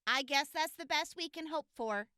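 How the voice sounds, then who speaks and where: A woman's voice: high-pitched, moderate pace, sharp tone, no room sound, clean studio sound. A woman playing a guarded young raider who talks in a casual, subdued way in an audio drama. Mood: surprised, resigned. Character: guarded young female raider, overboss's daughter.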